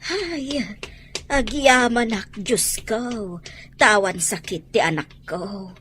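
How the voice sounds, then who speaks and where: An actress with an Ilocano accent playing a nosy, excitable village woman in a radio comedy drama, exclaiming theatrically. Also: fairly high pitch, takes it slowly, a little hiss or hum. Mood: emotional.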